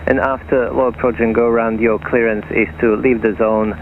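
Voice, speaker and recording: A man working as an air traffic controller, giving clearances over the radio in clipped, procedural phrases. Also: mid-range voice, little pitch movement, normal speed. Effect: aviation radio. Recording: crackly narrow-band airband radio, faint noise.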